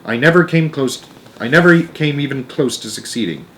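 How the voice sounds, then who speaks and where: A stern old storybook grandmother acted out by a man, knowing and authoritative. Each statement is given gravely and emphatically, as settled fact from long experience. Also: medium pitch, animated, brisk, fairly bright tone, slight background noise, natural voice, low-quality recording.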